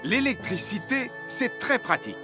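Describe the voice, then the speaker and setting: A man's voice: very high-pitched, big pitch swings, normal speed. An actor playing a friendly cartoon fireman who presents safety lessons for children in a scripted style. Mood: instructive. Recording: music behind the voice.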